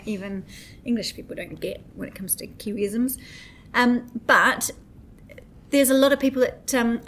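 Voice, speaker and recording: Gravelly-voiced New Zealand woman. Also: medium pitch, a little hiss or hum. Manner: probing questions, laughs easily. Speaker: podcast co-host. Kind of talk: casual interview chat.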